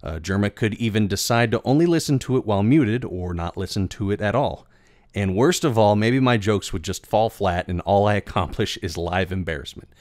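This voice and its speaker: A man with a fairly low voice narrates in a very dry, close recording. He explains things in a measured, articulate way, like a video creator reading his own script. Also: a little mellow, no echo.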